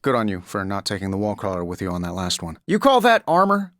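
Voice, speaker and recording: An actor playing a witty, cocky billionaire inventor superhero in a video game, with a glib, sardonic, conversational delivery. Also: male voice, lowish voice, wildly swooping pitch, quick pace, fairly bright tone, no room sound, studio quality. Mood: playful, boastful. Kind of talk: video game character voice lines.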